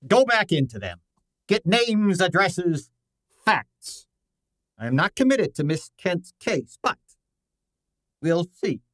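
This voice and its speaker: Male actor as imperious, exasperated detective genius; unhurried, widely swooping delivery, radio drama, close dry sound. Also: high voice, sing-song, fairly bright tone, dry recording, exaggerated voice. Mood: weary, grudging. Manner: pompous, formal, exasperated. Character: large, pompous, irritable male genius detective. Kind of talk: old time radio style acting.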